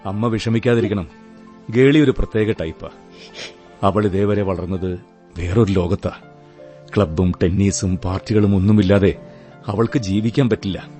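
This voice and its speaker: An actor plays a resigned, brooding husband in a radio drama. His low voice is very dark and soft-edged, measured and melancholy with a bitter streak. Faint music plays behind him. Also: fairly even intonation, lightly acted. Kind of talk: Malayalam radio play acting.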